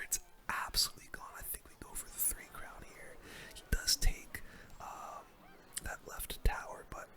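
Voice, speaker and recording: A gamer giving ASMR game commentary in a breathy, unvoiced whisper, with casual slang. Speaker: gaming video creator.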